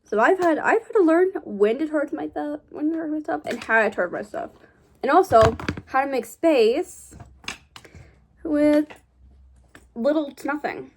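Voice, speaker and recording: A woman's voice: high-pitched, lively intonation, a little slow, pauses often. Chatty young woman with a cough. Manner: chatty, rambling, self-correcting. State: slight cough, losing her voice. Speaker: vlogger. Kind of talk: casual drawing vlog chat.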